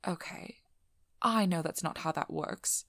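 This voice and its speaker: A woman playing a sharp-tongued, blunt vampire hunter in an ASMR role-play, speaking softly and breathily to the listener with a dry, probing manner. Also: fairly low pitch, a little slow, creaky, no echo. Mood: dry, scrutinizing.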